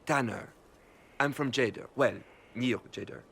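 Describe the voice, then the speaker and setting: A man's voice: medium pitch, measured pace, gravelly, a bit bright, slight background noise. An actor playing an eager young farm boy turned soldier in a fantasy game, casual and unassuming. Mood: friendly, eager. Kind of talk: video game voice acting.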